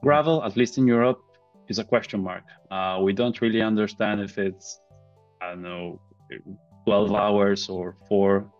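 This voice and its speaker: Low, slightly muffled man. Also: steady tone, unhurried, faint music behind. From Italy. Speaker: podcast host, interviewer. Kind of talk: casual interview questions. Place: indoors, on a video call. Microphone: earbuds on a video call. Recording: slightly dull video-call audio.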